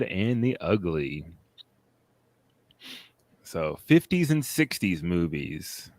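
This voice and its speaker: Slow-talking laid-back male podcast host. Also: fairly low pitch, dry recording. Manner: relaxed, rambling, laughs often. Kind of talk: casual film podcast chat.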